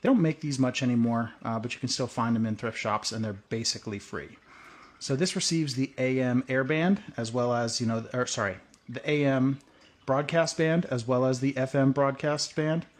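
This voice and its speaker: A man's voice: medium pitch, moderate pace. A man giving a casual, hands-on tutorial at a workbench, talking in a loose, rambling way with plenty of "uh" and "um". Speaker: prepping channel host.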